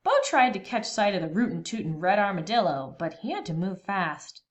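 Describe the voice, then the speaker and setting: A woman reading a children's storybook aloud expressively, with dramatic, deliberate pauses between phrases. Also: mid-range voice, animated.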